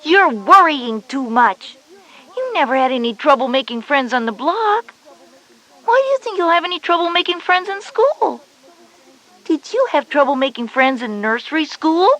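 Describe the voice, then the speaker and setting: A woman's voice: high voice, sing-song, moderate pace, a bit bright. A woman voicing a confident schoolgirl big sister, instructive and encouraging, in a children's educational audio drama. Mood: gently probing.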